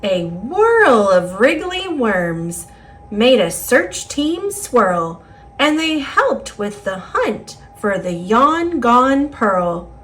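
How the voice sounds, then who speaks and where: American lady doing kids storytime, super slow n animated, singsong rhymes. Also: a little high, rough recording. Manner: deliberate, singsong rhyming delivery. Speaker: storybook reader. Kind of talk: expressive read-aloud narration for children.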